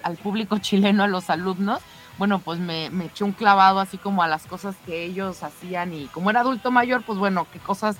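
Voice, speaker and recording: A woman with a Mexican accent co-hosting a radio show, telling things in a casual, conversational, anecdotal way. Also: mid-range voice.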